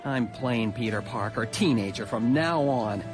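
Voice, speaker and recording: An actor playing a glum, defeated teenage male superhero in a deliberate, dejected radio drama monologue. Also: mid-range voice, lightly acted. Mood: dejected resolve. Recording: music under the voice.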